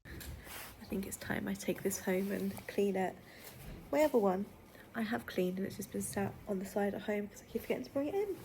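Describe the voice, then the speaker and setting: A woman's voice: medium pitch, expressive, moderate pace, mellow, slight background noise, low-quality recording. A woman, still under the weather with a cold, chatting casually to her camera and thinking aloud with ums. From United Kingdom. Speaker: self-employed nail tech vlogger. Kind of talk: casual vlog chat to camera.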